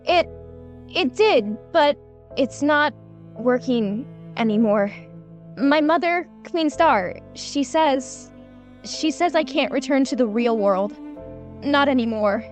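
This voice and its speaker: Woman as a young princess, sweet earnest friend type, high voice, slow and halting. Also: lively intonation, faint music behind. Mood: sorrowful, hesitant. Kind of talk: children's fantasy audio drama acting.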